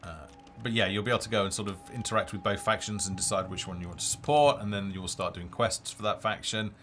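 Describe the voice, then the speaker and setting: Male game developer, deep voice, unhurried explanatory livestream commentary over music. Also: fairly bright tone. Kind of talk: casual live game demo commentary. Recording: music behind the voice.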